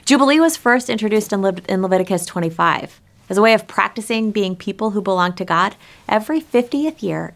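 A woman pastor giving a prepared but conversational sermon, speaking directly to her listeners and posing rhetorical questions. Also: on the low side.

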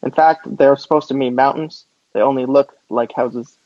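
A man's voice: mid-range voice, steady tone, normal speed, no echo, muffled recording. A man co-hosting a sports podcast over a remote call, giving casual, opinionated takes. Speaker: podcast co-host.

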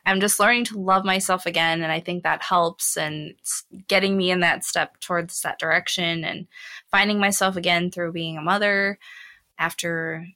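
A woman's voice: on the low side, fairly even intonation, normal speed, sharp tone, no room sound. A woman in her twenties with an American accent, talking candidly and reflectively in a casual chat as a podcast co-host.

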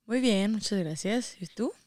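A woman's voice: medium pitch, animated, unhurried, a little mellow, no echo, clean studio sound. A young woman with a northern Mexican accent, chatting casually as an interview guest about her own experiences. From Saltillo, Mexico, and the United States. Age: young adult.

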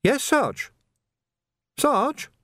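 A man doing a high, boyish young constable. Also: big pitch swings, extremely slow, fairly bright tone, no room sound, clean studio sound, heavily acted. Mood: eager. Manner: cheeky, sniggering. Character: young eager male lance constable.

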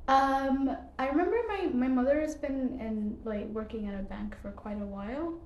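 A woman's voice: high-pitched, normal speed, darkish tone, echoey room, muffled recording. A young woman from Ethiopia, a podcast guest, answering casually with many fillers and short laughs. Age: young adult.

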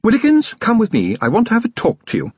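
Man voicing an imperious upper-class lady, raised pitch. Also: fast, slightly rough, no echo, lo-fi sound, exaggerated voice. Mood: cheerful, commanding. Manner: calm, confident, imperious and polite. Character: upper-class lady, self-assured, commanding, briskly gracious wife.

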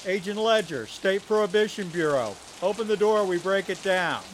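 An actor playing a stern, officious Prohibition agent giving formal commands in a 1930s-style audio drama. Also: male voice, high-pitched, expressive, moderate pace, background noise. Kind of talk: 1930s radio-drama acting.

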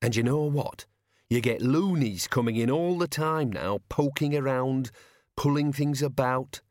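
A man's voice: mid-range voice, unhurried, darkish tone, dry recording, clean studio sound, pitched above the performer's own voice, close to an everyday voice. A man voicing a resentful working-class cart driver who mutters and grumbles colloquially in an audiobook. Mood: resentful grumbling. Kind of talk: audiobook character voice.

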